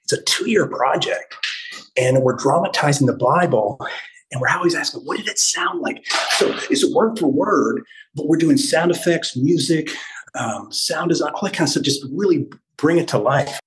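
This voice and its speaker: A man in his fifties giving an enthusiastic, casual walking tour over a phone video call, speaking in a halting way. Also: on the high side, expressive, fairly bright tone, slightly rough, rough recording. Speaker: podcast host giving a studio tour. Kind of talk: casual chat, walk-through tour. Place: indoors, walking through studio offices and hallways. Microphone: phone held at arm's length on video call.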